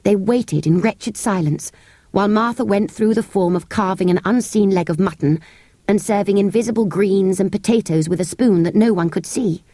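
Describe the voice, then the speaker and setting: A woman with a British accent narrates a children's story in an expressive, measured storytelling manner. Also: medium pitch, dry recording. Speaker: audiobook narrator. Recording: close, dry cassette tape recording.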